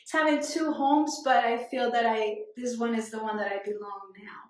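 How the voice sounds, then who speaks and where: A woman's voice: fairly high pitch, fairly even intonation, normal speed. A woman in her forties from Colombia with a Colombian accent, a guest talking through her experiences thoughtfully in an interview, with frequent restarts. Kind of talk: reflective conversational interview. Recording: very echoey room.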